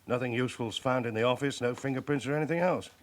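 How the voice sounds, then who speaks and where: A man acting a businesslike chief inspector in a radio drama, speaking in a measured way. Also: medium pitch, close to an everyday voice. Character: male senior police chief inspector, steady and businesslike.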